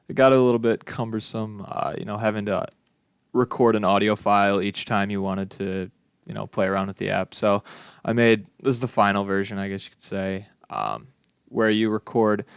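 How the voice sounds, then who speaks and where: A young adult man giving a casual software demonstration as a student, informal, offhand and a little tentative. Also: on the low side, steady tone, normal speed, gravelly, smooth, no room sound, studio quality. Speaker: student presenting a final project.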